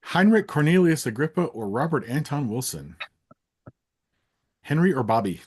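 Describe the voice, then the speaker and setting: A man with a husky edge and a lively, swooping delivery. He plays interviewer, firing off quick either-or choices and teasing his guest as he goes. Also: medium pitch. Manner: playful, teasing. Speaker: interviewer, host. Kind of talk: rapid either-or quiz in casual chat.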